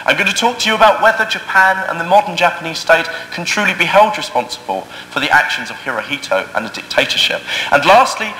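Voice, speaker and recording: An Englishman with a high, swooping voice works the room with dry, sharp-tongued wit. His tone is brilliantly bright and cutting as he argues his case. A long, roomy echo trails his words through the parliament chamber. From England. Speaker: competitive debater. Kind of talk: formal debate speech with wry asides. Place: debating chamber of a parliament building. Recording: echoey hall recording.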